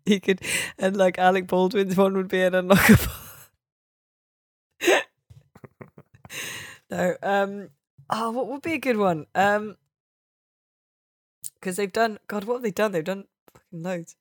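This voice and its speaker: A podcast host with a mid-pitched voice, recorded dry and close. The talk is casual games chat, full of swearing and wry jokes. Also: fairly even intonation, a little slow, pauses often, no room sound.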